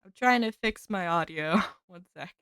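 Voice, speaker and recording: A woman's voice: on the low side, lively intonation, moderate pace, a bit bright, clean tone, no room sound, clean studio sound. A woman streaming from her home setup on a desk mic, chatting casually with her viewers and thanking subscribers, a little stuffy from a cold. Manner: chatty, upbeat, talks to chat and thanks subscribers. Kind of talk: casual live-stream chat. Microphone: desk microphone with headphones.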